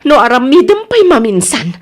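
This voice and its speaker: High-voiced, aggrieved wife. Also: female voice, big pitch swings, moderate pace. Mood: stern, hurt. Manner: firm, reproachful. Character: stern aggrieved wife and concerned mother. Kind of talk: radio drama acting.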